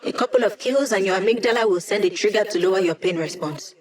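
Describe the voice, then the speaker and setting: A woman's voice: mid-range voice, fast, a little mellow, lightly acted. An actress voicing a wry, cocky young woman hacker in a video game, speaking in quippy, casual lines. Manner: sardonic, quippy, casual slang. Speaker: game character, hacker support.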